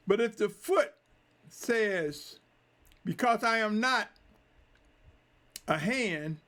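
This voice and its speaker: A man preaching a sermon in a deliberate, emphatic way, drawing out key phrases. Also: very high voice, a bit bright.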